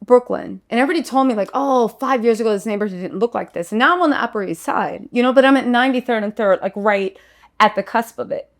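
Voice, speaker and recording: Guest, female, 40s: frank and jokey, higher pitch, gravelly texture, faint music underneath. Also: quick pace. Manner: playful, candid, swears casually. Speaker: lawyer, podcast guest. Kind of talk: casual interview chat.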